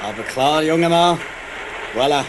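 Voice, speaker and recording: A man playing a smooth-talking, shifty train passenger in a Swiss German children's radio play. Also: fairly high pitch, normal speed, noisy background, natural voice. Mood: oily, prying.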